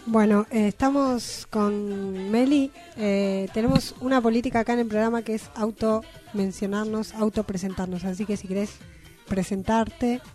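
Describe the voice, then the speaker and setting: A woman's voice: medium pitch, steady tone, normal speed, a little mellow, faint music behind. A woman with a Rioplatense Argentine accent interviewing casually on a radio show.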